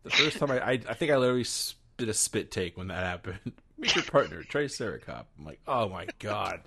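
A man's voice: lowish voice, big pitch swings, brisk, creaky, a bit bright, husky. A man hosting a casual movie countdown podcast, wry and swearing casually. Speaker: podcast host running the countdown. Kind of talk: casual movie review chat.

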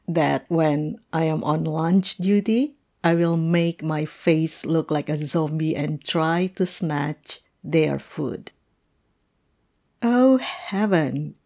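A woman playing a playful, chatty teacher telling a colleague a story in an animated, amused, conversational audio roleplay. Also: low-pitched, full character voice. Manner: animated, amused, punctuated with chuckles.

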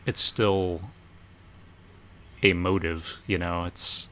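A man co-hosting a podcast, giving measured opinions on films in casual conversation. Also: low-pitched, little pitch movement, bright, forceful tone. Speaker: podcast co-host. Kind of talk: casual chat about films.

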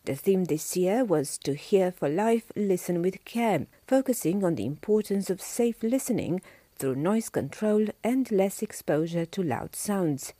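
A woman presenting a radio feature, reading a script in an even, measured way. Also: medium pitch, no echo.

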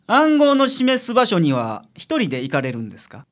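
A man's voice: high voice, wildly swooping pitch, moderate pace. A man playing a shrewd, blunt, laid-back male private detective with dry, probing delivery in a radio drama.

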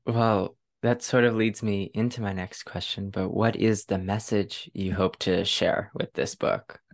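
A woman's voice: extremely low pitch, fairly even intonation, measured pace, creaky. A woman hosting a casual podcast interview, asking questions in an informal conversational way.

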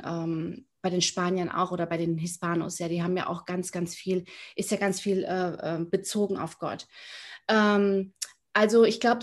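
A woman in her early forties from near Frankfurt, telling her story as a podcast guest with many fillers and pauses to find her words. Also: medium pitch. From Germany, near Frankfurt. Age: 40s. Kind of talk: casual interview storytelling.

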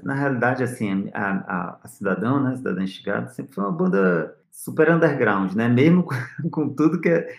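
A man's voice: mid-range voice, animated, normal speed, gravelly, roomy sound. A man with a Northeastern Brazilian accent, a musician answering interview questions in a hesitant, searching way. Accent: Northeastern Brazilian Portuguese. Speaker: musician guest. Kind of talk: interview conversation.

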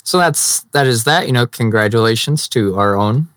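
A man's voice: medium pitch, animated, fairly bright tone, no echo, clean studio sound. A young man with a Chicago accent hosting a casual sports podcast, laughing easily and restarting his sentences. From Chicago area. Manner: laughs often, halting restarts. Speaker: podcast host. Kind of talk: casual chat.

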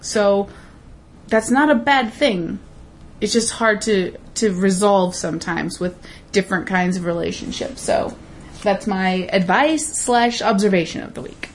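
A woman with a raspy voice co-hosting a casual podcast, chatting and giving reflective life advice. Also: mid-range voice, a little slow, slight background noise. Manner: chatty, reflective, laughs easily. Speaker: podcast co-host. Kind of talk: casual chat and advice segment.

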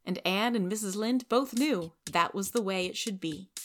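A woman's voice: medium pitch, moderate pace, fairly bright tone, slight background noise. A woman reading scripted storybook narration expressively, as a podcast story reader.

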